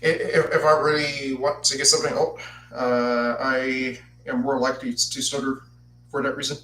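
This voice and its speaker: A man's voice: medium pitch, flat delivery, moderate pace, sharp tone, far from the mic. A man in his twenties hosting a solo podcast, who stutters and repeats words as he partly reads from a script. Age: 20s. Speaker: podcast host. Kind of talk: solo podcast talk, partly read aloud. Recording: echoey room, voice off-mic, faint noise.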